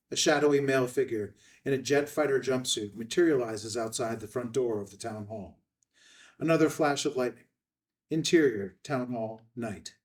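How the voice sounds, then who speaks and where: Male narrator, medium pitch, reading stage directions, measured clipped phrases, a bit off-mic. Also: recorded from a distance.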